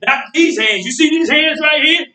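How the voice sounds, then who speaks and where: Actor as a high-voiced, boastful older American barber. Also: male voice, moderate pace, exaggerated voice. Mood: boastful, emphatic. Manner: folksy, animated, repeats phrases for emphasis. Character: boastful, teasing older barbershop owner and former boxer. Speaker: stage actor. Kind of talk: stage play dialogue. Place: indoor theatre stage, picked up from afar. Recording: echoey stage hall, distant microphone.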